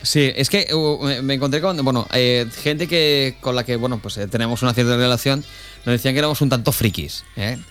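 A man's voice: medium pitch, a little fast, fairly bright tone, faint music behind. A man with an accent from Spain hosting a casual radio chat, bantering informally and often checking for agreement. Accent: Spanish from Spain. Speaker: radio host.

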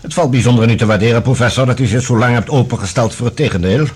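An actor playing a composed, authoritative security general in a radio drama, speaking formally and courteously but firmly, with a husky voice. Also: male voice, medium pitch, racing, darkish tone, close to an everyday voice. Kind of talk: Dutch radio drama acting.

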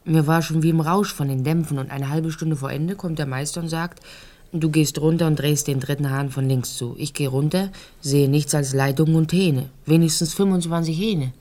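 A woman's voice: low-pitched, steady tone, normal speed, lightly acted. An actress in a radio drama playing a talkative, naive and frank young Ukrainian-born woman who tells her life in a rambling, conversational way. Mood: matter-of-fact, candid. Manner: rambling storytelling, occasional hushed phrases.

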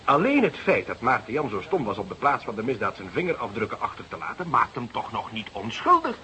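Earnest, bright-voiced junior detective. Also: male voice, medium pitch, wildly swooping pitch, fairly quick, exaggerated voice. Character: earnest male police detective, the junior partner. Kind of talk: Dutch radio drama acting. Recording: hissy, noisy radio drama recording.

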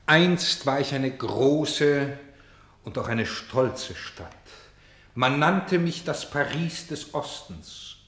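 An actor playing a solemn narrator in a German radio play, speaking with measured declamation. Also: male voice, medium pitch, lively intonation, fairly bright tone, echoey room. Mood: solemn, sorrowful. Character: narrator turned player, voicing cities, streets and houses.

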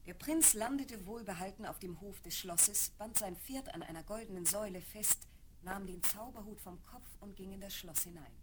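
A woman's voice: mid-range voice, normal speed, noisy background. A woman giving scripted storybook narration for a children's fairy-tale audio play. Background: music.

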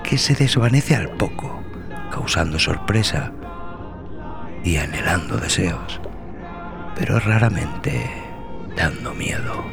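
Deep voiced guy doing slow dramatic narration, solemn and theatrical, music behind him. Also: sing-song, gravelly. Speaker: podcast host and narrator.

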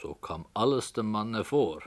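An actor playing an author who calmly tells his story in a measured, deliberate way. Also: on the low side. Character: calm, unyielding male radio play author. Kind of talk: radio play acting.

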